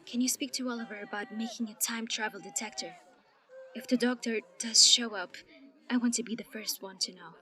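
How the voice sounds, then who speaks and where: A calm and commanding captain who looks out for her crew. Her voice sits a little high, and her tone stays level and warm. It is an audio drama. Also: fairly quick. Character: steady, caring young female captain.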